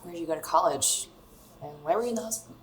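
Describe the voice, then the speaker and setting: A woman's voice: lowish voice, racing, a little hiss or hum, natural voice. A young woman playing a blunt, restless, sardonic 27-year-old star in a naturalistic radio drama, probing in a conversational way. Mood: confrontational. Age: young adult.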